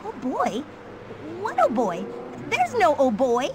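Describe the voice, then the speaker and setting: An actress playing a lively, eager young skater and comic artist in a video game cutscene. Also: female voice, high-pitched, takes it slowly, background noise, exaggerated voice. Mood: indignant. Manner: eager, expressive. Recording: game audio with music behind. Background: game music.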